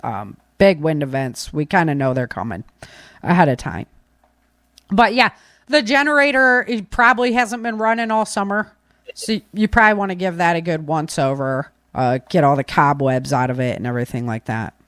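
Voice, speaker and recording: A woman's voice: low voice, moderate pace, fairly bright tone, dry recording, clean studio sound. A woman hosting a podcast, chatting casually and giving practical tips in an informal, folksy, rambling way. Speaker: podcast host.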